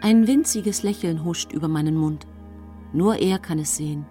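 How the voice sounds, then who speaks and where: An actress playing a bereaved, secretly calculating mother, telling her story in measured, solemn radio drama narration. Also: low voice, warm tone, faint music behind, lightly acted. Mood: controlled.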